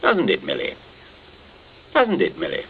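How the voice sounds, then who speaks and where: An actor playing a hearty English captain in a radio suspense drama, speaking in blustery, clipped upper-class phrases. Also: male voice, deep voice, huge pitch swings, unhurried, full character voice. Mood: bluff, rueful. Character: hearty, bluff English captain, a jilted neighbour. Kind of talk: 1940s radio suspense acting. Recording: dull, hissy old radio broadcast.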